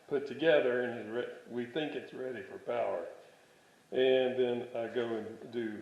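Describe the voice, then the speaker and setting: A man giving an informal workshop explanation in a halting, rambling way, often correcting himself. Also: medium pitch, darkish tone, slight background noise. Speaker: radio restorer presenting his project. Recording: roomy echo, dull lo-fi sound.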